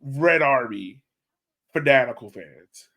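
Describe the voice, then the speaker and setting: A man co-hosting a sports podcast in casual conversation, informal and a little halting as he thinks aloud. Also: mid-range voice, lively intonation, bright, forceful tone. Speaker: podcast co-host.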